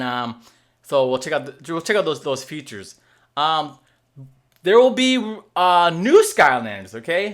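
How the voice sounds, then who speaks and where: Man chatting excitedly about a game. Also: a little high. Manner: animated, lots of fillers and restarts, checks in with "okay?"; waves his hands while talking. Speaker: gaming video host. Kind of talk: casual explainer to viewers. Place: home room with desk.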